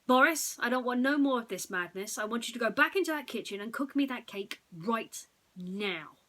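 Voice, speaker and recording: Lady doing a bossy angry guy in a bedtime story, loud n scornful, demanding. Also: on the high side, animated, normal speed, pitched above the performer's own voice. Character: angry, scornful, bossy male customer Mitchell.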